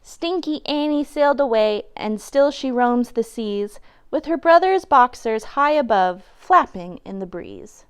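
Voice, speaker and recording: A woman with a fairly high, very smooth and clear voice reads a rhyming children's picture book. Her delivery is expressive and dramatic, as a storytime reader. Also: a little slow.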